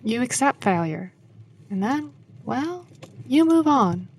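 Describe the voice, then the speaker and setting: A woman's voice: fairly high pitch, big pitch swings, takes it slowly, darkish tone, breathy edge, exaggerated voice. A woman playing an older wife and grieving mother, frank and consoling, in an audio drama. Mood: sombre, consoling.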